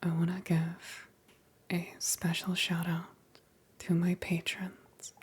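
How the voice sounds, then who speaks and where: A woman with a very breathy, whispered voice speaks as an ASMR creator addressing her viewers. Her delivery is slow and fairly low. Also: monotone.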